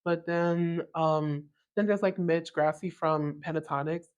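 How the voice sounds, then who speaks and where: A young man with a very high tenor voice, hosting a casual podcast chat in an eager, enthusiastic way. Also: fairly even intonation, clear, resonant tone, dull audio. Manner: eager, enthusiastic, quick to agree. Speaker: podcast host.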